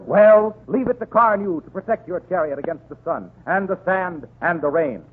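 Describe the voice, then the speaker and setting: A man's voice: sits high, normal speed, lightly acted. An actor playing a brash Roman suitor who declaims like a salesman, in a 1930s radio comedy sketch. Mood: grand, salesmanlike. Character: brash, wooing Roman suitor man, hawking chariot wax. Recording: tinny, noisy 1930s radio recording.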